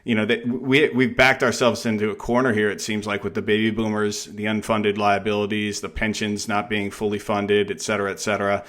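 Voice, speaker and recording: Male interviewer, fairly deep pitch, hesitant conversational delivery for a finance discussion show. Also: flat delivery, fairly quick, few pauses, fairly bright tone. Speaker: podcast interviewer.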